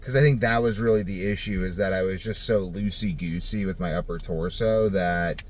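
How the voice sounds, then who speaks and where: A man with a low-pitched voice talks casually to his video diary. He rambles and thinks aloud, unscripted, over a faintly noisy home recording. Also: fairly even intonation, moderate pace. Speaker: vlogger.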